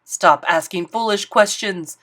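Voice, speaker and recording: A woman voicing an excitable, greedy male king who boasts and barks orders in a loud, commanding voice. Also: medium pitch, a little slow. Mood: bossy.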